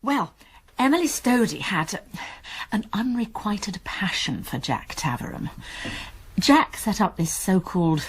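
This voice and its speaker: Clever amateur sleuth in a radio mystery, composed, measured, normal mid pitch, explaining stuff. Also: female voice, animated, slightly rough. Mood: shrewd, composed. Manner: measured, quick-witted, explanatory. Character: shrewd, composed woman amateur sleuth explaining her deductions. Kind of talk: radio drama dialogue.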